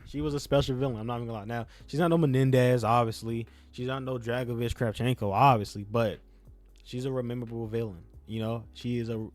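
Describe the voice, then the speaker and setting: Young male gaming creator, speech impediment, quick and mellow, slangy, rambling review style over background music. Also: medium pitch. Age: young adult. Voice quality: self-described speech impediment. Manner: rambling, slangy, repeats phrases for emphasis. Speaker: YouTube gaming content creator. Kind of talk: casual opinionated game review talk.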